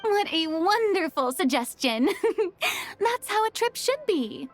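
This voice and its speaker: High, theatrical, refined director. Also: female voice, very animated, slow, soft background music, full character voice. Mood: delighted. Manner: eloquent, theatrical, polished. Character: refined, artistic female film director, earnest and courteous. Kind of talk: video game voice acting.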